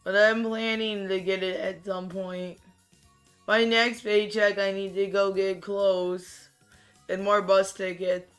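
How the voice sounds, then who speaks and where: A streamer chatting casually while playing a game, with a raspy, monotone voice that sounds tired and low-key, rambling and often restarting sentences. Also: takes it slowly, soft background music.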